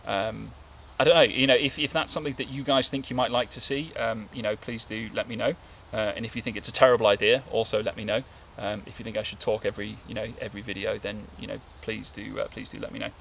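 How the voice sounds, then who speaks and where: Bloke in his 30s rambling to camera outdoors, bit gravelly, lots of ums, faint wind noise behind. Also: on the low side, fast talker, hardly any pauses. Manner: rambling, relaxed, many fillers and restarts. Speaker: bushcraft vlogger. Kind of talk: casual talk to camera. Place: outdoors in winter woodland, seated under a tarp. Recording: light outdoor background noise.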